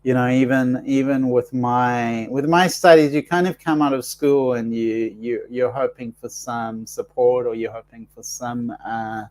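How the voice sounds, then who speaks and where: A man's voice: medium pitch, steady tone, measured pace, few pauses, darkish tone. A middle-aged man from South Africa, congested with allergies, hosting a casual livestream, chatting and reading viewer comments with many fillers and restarts. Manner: rambling, with frequent restarts and fillers. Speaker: livestream host and healer.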